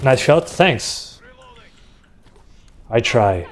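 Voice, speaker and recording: A young man talks in a relaxed, chatty way as he streams a shooter from his home setup. His voice sits in an ordinary middle range. Faint gunfire from the game runs underneath. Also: medium pitch, drawn-out words, background noise. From Uruguay. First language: Spanish. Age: 20s. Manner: relaxed, chatty, answers chat between fights. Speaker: tech streamer. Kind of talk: casual live-stream commentary while gaming. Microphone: desktop USB microphone.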